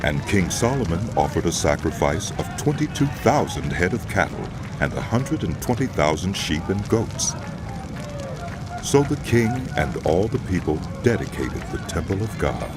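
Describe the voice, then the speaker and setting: A man's voice: low-pitched, big pitch swings, moderate pace, music in the background. A man narrating scripture as a scripted, dramatized audio Bible reading.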